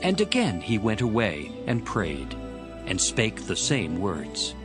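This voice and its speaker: A man's voice: lowish voice, wildly swooping pitch, slow pace, over music. A man narrating dramatized scripture as a storyteller between the character parts.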